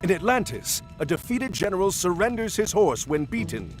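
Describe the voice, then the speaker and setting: Bold, expressive heroic commander. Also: male voice, sits high, normal speed, over music, low-quality recording. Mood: measured. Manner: commanding, earnest. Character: bold, decisive heroic male admiral of Atlantis. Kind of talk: video game cutscene acting.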